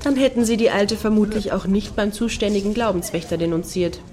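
An actress playing a wry woman in a friend group in a satirical radio play. Her voice sits at a medium pitch, and she comments in a knowing, opinionated way. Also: fairly quick, a little mellow, close to an everyday voice. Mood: wry, gossipy.

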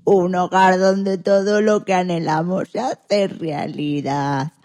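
A woman voicing a kindly, reassuring male dog in a children's radio play, gentle and drawn-out in delivery. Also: lowish voice, fairly even intonation.

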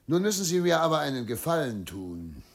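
An actor playing a troubled, middle-aged governor and father in a radio play, dutiful and self-justifying, speaking in a formal, halting way. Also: on the high side, very animated, creaky. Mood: hesitant, instructing. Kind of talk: German radio play acting.

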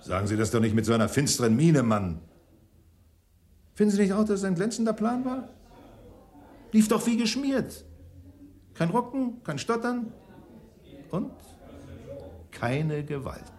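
An actor playing a smug, jovial, cynical schemer, theatrical and goading, in a German radio crime play. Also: male voice, sits high, big pitch swings, unhurried, pauses often, darkish tone. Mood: smug, needling.

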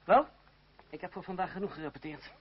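An actor playing a proud, ironic young playwright and jealous fiancé in a historical radio drama, speaking in an articulate, self-controlled way. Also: male voice, high-pitched, fairly quick, slight background noise, close to an everyday voice. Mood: cool, aloof. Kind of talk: theatrical radio drama acting. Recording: dull old radio play recording, crowd behind.

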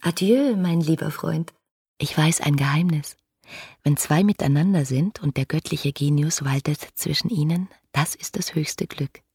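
Husky, passionate young letter writer. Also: female voice, low voice, a little slow, a little mellow, heavily acted. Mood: dreamy, enraptured. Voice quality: husky, breathy. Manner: ardent, intimate, impulsive. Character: passionate, headstrong, lovestruck young woman writing letters. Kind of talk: dramatized letter reading in a radio play.

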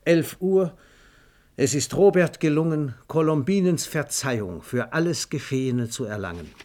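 A man's voice: on the high side, expressive, normal speed, mellow. A man reads a scripted radio story in a theatrical, emphatic storytelling manner. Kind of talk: dramatic scripted storytelling narration.

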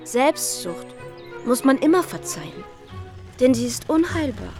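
A woman's voice: sits high, very animated, unhurried, over music, full character voice. An actress playing a worldly, witty young society lady with a breezy, ironic manner in a radio drama. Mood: playfully apologetic.

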